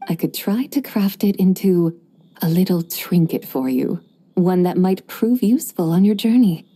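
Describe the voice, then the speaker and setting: A woman voicing a serene, graceful immortal who speaks in measured, formal phrases in a video game. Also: on the low side, mellow, natural voice.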